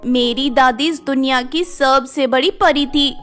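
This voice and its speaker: A woman's voice: high-pitched, unhurried, fairly bright tone, soft background music. A woman voicing a spoiled, rich young cartoon fairy with an arrogant, demanding manner. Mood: furious. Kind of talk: cartoon fairy-tale acting.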